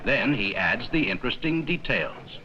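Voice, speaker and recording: A man reading scripted 1950s educational film narration in a measured, explanatory manner with simple, plain sentences. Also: mid-range voice, lively intonation, muffled recording. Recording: worn, noisy 1950s 16mm film soundtrack.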